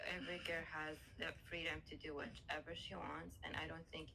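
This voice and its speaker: A woman in her twenties from Saudi Arabia, answering interview questions with giggly, relaxed short replies. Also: sits low, a little fast, vocal fry, a little hiss or hum, poor audio. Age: 20s. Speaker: guest.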